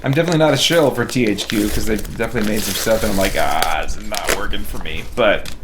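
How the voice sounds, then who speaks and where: A man's voice: on the low side, fast talker. A man chatting casually as he hosts a game livestream, rambling and thinking aloud. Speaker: game streamer and reviewer, host of the stream. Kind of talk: casual livestream chat while playing a game. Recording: clear background noise.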